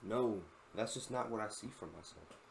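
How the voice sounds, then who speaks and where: 21 yr old guy reacting to a vid, slangy, keeps saying bro, a bit low voice, faint hiss. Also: normal speed, gravelly. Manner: slangy, says "bro" constantly, rambling and earnest. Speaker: content creator reacting to a video. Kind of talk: casual reaction commentary.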